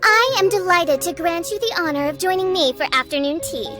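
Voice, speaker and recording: A woman's voice: extremely high pitch, lively intonation, brisk, music in the background. A woman voicing a theatrical teenage girl who poses as a haughty princess in a video game, declaiming grandly in archaic words. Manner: pompous, grandiose, archaic wording. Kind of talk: video game character acting.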